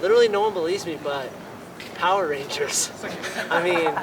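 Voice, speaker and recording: A man's voice: on the high side, moderate pace, heavy background noise. Nineteen-year-old guy, distant outdoor mic. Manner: relaxed, rambling with fillers. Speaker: parkour athlete interviewee. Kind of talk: casual interview. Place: outdoors on a campus.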